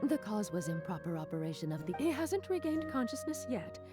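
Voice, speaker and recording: A woman's voice: mid-range voice, very animated, a little fast, over music, close to an everyday voice. A woman voicing a polite, formal official who reports to a superior in a video game, businesslike and dutiful.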